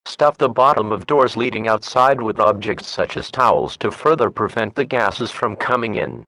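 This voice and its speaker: A synthetic man's voice reading a formal scripted emergency alert in a flat, measured manner. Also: on the low side, dry recording, rough recording.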